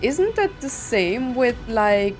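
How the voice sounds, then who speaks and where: A woman's voice: fairly high pitch, very animated, takes it slowly. A woman giving casual game commentary, thinking aloud in a musing, hesitant way. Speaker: gaming streamer. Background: game music.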